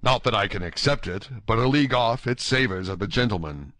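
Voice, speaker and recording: Male reader as courtly storybook musketeer, wry and formal, fairly deep, measured, in a dry recording. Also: lively intonation, fairly bright tone, no echo. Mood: gracious, approving. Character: gracious, dignified, wry wounded gentleman musketeer. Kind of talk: audiobook character dialogue.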